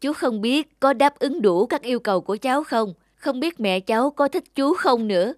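A man playing a courteous middle-aged businessman and gentle suitor in an animated drama, polite, measured and sincere. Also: very high-pitched. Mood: kindly. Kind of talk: animated moral drama acting.

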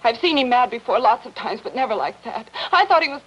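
A woman's voice: a little high, very animated, quick pace, dull audio, a little hiss or hum. A young American woman playing an anxious, earnest wife in a melodramatic 1950s TV drama. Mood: distraught. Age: young adult.

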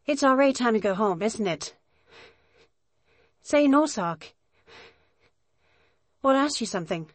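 A woman voicing a sweet, friendly schoolgirl chess partner in an anime visual novel, gentle and encouraging. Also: on the high side, expressive, a little slow, pauses often, no room sound. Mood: affectionate.

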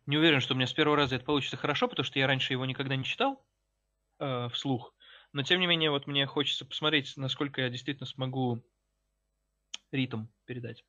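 A man's voice: medium pitch, steady tone, fast talker, pushed and bright. Talkative young man on a call. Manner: self-mocking, talkative. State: sick voice. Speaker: poet. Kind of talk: casual video call chat. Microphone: headset on video call. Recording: dull lo-fi video call audio.

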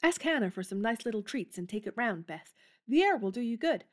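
A brash, impulsive young woman, played by an actress in an audio drama. Her voice sits fairly high and swings a lot in pitch. She sounds energetic and forthright. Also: a little fast. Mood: earnest. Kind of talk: amateur audio drama acting.

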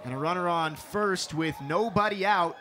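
A young man calling a televised softball game as play-by-play announcer, with a crisp broadcast delivery that lifts for big plays. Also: high-pitched, normal speed, a little hiss or hum. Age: young adult. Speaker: sports reporter and play-by-play announcer.

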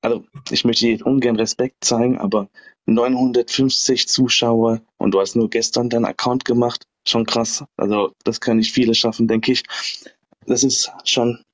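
A man calling into a livestream, speaking quietly and hesitantly, apologizing often. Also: medium pitch, level tone, normal speed, lo-fi sound. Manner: hushed and hesitant, keeps apologizing. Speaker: caller. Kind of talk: livestream call-in questions.

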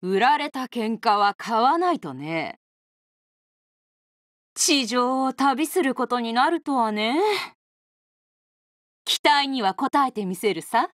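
A woman voicing a bold, hard-drinking female oni brawler with cocky, easygoing, rough tomboyish speech for a video game. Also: on the high side, animated, slow pace, pauses often, a bit bright, dry recording, clean studio sound. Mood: pleased, confident. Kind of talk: video game character voice lines.

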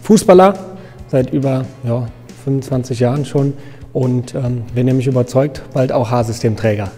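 A man in his thirties from Germany, a guest in an interview, talking casually with many fillers and pauses. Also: medium pitch, warm tone, long reverb tail, soft background music. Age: 30s. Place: photo studio with grey backdrop.

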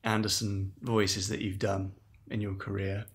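Brisk male podcast interviewer. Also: lowish voice, level tone, fairly bright tone. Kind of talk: interview questions.

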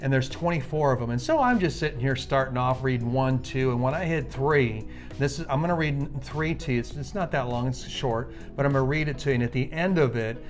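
A man preaching with enthusiasm, reading a Bible passage slowly and emphatically. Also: mid-range voice, faint music behind. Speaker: minister.

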